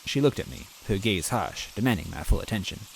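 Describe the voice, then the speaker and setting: A man's voice: on the low side, expressive, brisk, gravelly, slight background noise, poor audio. A man reading a fan story aloud in first person, earnest and timid in tone. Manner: earnest and timid, self-deprecating. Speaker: story reader.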